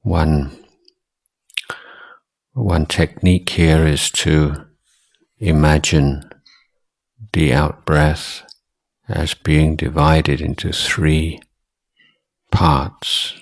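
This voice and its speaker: An extremely low-pitched man leads a guided meditation in a husky voice. He speaks slowly and deliberately, leaving long pauses between short phrases as he instructs. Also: little pitch movement. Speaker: Buddhist monk, meditation teacher.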